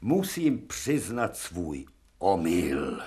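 A stern old professor. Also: male voice, low voice, wildly swooping pitch, dark tone, husky, heavily acted. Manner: authoritative, irritable. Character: stern older professor of surgery.

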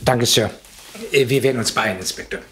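An actor playing a respectful, businesslike police sergeant in a German radio crime play, on a noisy old recording. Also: male voice, mid-range voice, a little fast, close to an everyday voice. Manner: deferential, businesslike.

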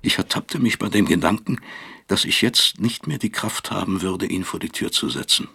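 Brooding father narrator, very low pitch, gravelly, self-accusing, bitterly reflective, radio drama. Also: moderate pace, bright, forceful tone, exaggerated voice. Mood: fearful, self-reproachful. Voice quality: gravelly, husky.

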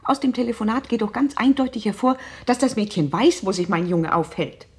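Very husky, imperious mother. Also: on the high side, fairly quick. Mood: impatient, cutting. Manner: cutting, impatient, haughty. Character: demanding, sarcastic, imperious mother hiring a private detective. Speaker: actress. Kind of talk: German radio drama acting.

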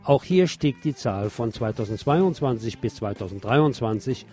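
Mellow-voiced man reading the news. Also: mid-range voice, expressive, moderate pace. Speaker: radio news presenter. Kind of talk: scripted news reading with some pointed commentary.

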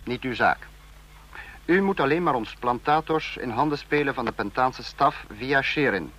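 An actor playing a cold, authoritarian state leader in an old radio play, speaking in a clipped, lecturing, imperious manner. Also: male voice, medium pitch, expressive, moderate pace, a bit bright. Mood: stern, businesslike. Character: cold authoritarian male supreme leader of a fictional police state.

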